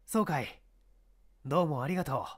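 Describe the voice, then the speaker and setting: A man voicing a shrewd, authoritative police inspector who gives brisk orders in a mystery audiobook. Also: on the high side, natural voice. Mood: probing. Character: shrewd, commanding middle-aged male police inspector. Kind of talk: dramatized mystery audiobook reading.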